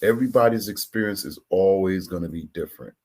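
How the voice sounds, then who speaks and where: A man's voice: low-pitched, fast talker, a little mellow. A man hosting a reaction video, talking loosely and repeating himself. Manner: loose, talkative, repeats words and uses slang. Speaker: host reacting to clips. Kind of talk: casual reaction commentary.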